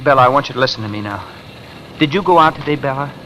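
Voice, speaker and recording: An actor playing an earnest, wounded ex-con husband in a 1950s American radio noir, with urgent, clipped slang. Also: male voice, medium pitch, animated, rapid-fire, sharp tone. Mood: bitter, urgent. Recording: hissy vintage radio recording.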